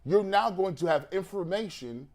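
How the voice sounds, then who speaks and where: A man's voice: sits high, lively intonation, normal speed, a little mellow. A man on a sports talk panel who repeats phrases for emphasis and acts out imagined quotes as he explains.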